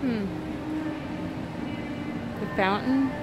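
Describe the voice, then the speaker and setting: A woman filming a walking vlog through an empty mall on her phone, musing aloud in a wistful way with soft hums. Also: on the high side, drawn-out words, highs cut off, heavy background noise. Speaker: vlogger. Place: inside an empty shopping mall. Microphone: phone camera held while walking.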